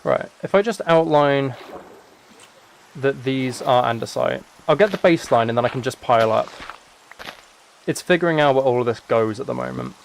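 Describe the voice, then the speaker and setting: Young man, British accent, medium pitch, casual live-stream chat, rambling, thinking aloud. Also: moderate pace, creaky, long pauses, slight background noise, low-quality recording. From United Kingdom.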